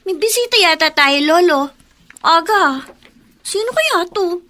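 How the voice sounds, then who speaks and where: A sweet, polite schoolgirl granddaughter in a radio play. Her voice is very high and bright. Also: lively intonation, unhurried, a little hiss or hum, heavily acted. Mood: cheerful. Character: cheerful young granddaughter off to school. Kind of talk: Filipino radio drama acting.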